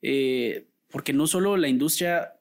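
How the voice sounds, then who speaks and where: Guatemalan male interviewer, slightly high voice, long winding questions. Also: measured pace. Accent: Guatemalan Spanish. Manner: long, rambling questions, with more emphasis when making a point. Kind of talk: casual interview conversation.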